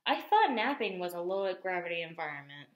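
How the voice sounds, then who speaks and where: A woman's voice: on the low side, sing-song, brisk, echoey room, a little hiss or hum. A woman voicing a droll, food-loving, reluctant cartoon beagle thinking aloud in a dry, wry way. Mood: wry, doubtful.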